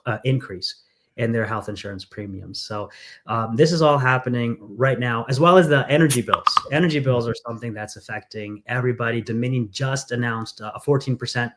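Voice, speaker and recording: A man talking through an interview in an earnest, storytelling way, often pausing on "uh" as he goes. His voice sits at an ordinary middle pitch for a man. He grew up in England. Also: fairly bright tone. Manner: earnest, storytelling, frequent fillers. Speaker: congressional candidate. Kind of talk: conversational interview.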